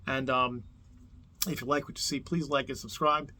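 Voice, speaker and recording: A man's voice: mid-range voice, steady tone, moderate pace, pushed and bright. A man from New Jersey with a Jersey accent hosts a casual album countdown on his video channel, rambling with lots of fillers and restarts. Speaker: YouTube host.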